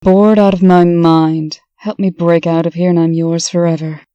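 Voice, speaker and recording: A woman's voice: on the low side, level tone, normal speed, clear, resonant tone, no room sound, lo-fi sound, close to an everyday voice. A woman playing a weakened, testy, dry-witted female patient in a comic dub. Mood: bored, wry.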